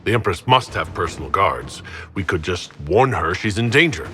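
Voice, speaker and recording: A composed fantasy leader in a video game. His low voice moves expressively through formal, courteous, commanding lines, with faint music underneath. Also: big pitch swings, moderate pace, bright, forceful tone, full character voice. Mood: questioning.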